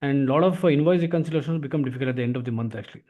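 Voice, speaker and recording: A man with an Indian accent explaining software as an instructor, often tagging phrases with "actually". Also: mid-range voice, very fast, narrow, tinny audio. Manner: repeatedly tags phrases with "actually". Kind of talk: explanatory software training lesson.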